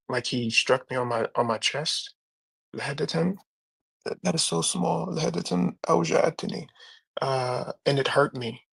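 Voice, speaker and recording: A man's voice: medium pitch, gravelly, a bit bright, breathy edge, poor audio. A man in a casual live-stream debate, talking bluntly and challenging others. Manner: blunt, challenging, reads scripture aloud. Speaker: debate panelist.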